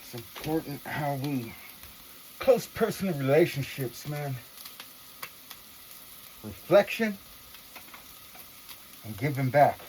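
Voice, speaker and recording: A woman's voice: a bass voice, expressive, slow, long pauses, warm tone, a little hiss or hum, poor audio. A woman talking casually to viewers in an earnest, reflective monologue, with slangy, profane phrasing. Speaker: vlogger.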